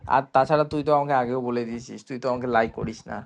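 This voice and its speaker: A man's voice: medium pitch, steady tone, racing, creaky, slight background noise. A young man in a casual phone chat, talking in a hesitant, rambling way. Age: young adult. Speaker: prank caller.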